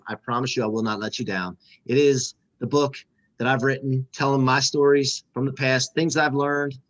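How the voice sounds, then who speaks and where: A man hosting a podcast, talking in an energetic, hype-style way as he promotes and interviews. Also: medium pitch, highs cut off. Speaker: podcast host.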